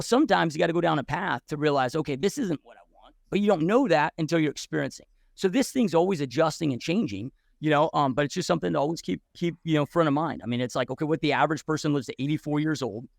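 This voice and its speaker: A man's voice: fairly high pitch, gravelly, no echo, studio quality. A man in his forties, a podcast guest who tells his story bluntly and casually, swearing freely with many restarts and "dude"s.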